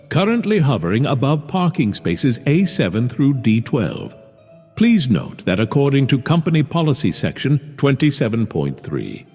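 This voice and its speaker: A man voicing an automated office security system that makes announcements with suspicious calm. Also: mid-range voice, breathy edge, big-room sound, voice pushed up.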